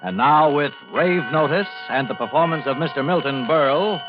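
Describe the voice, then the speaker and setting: Male vintage radio announcer over loud music. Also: fairly high pitch, lively intonation, normal speed, narrow, tinny audio. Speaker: show announcer. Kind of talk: formal scripted radio introduction.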